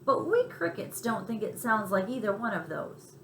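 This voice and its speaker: A woman's voice: mid-range voice, sing-song, moderate pace, fairly bright tone. A woman voicing a timid, earnest young-sounding male country cricket in a storybook, shy and unsure of himself. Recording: roomy echo, faint background noise.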